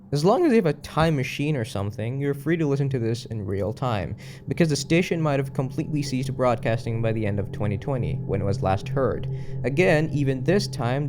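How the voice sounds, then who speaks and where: A man's voice: mid-range voice, darkish tone, a little hiss or hum. A man narrating an explanatory online video in a documentary style, with casual, conversational asides.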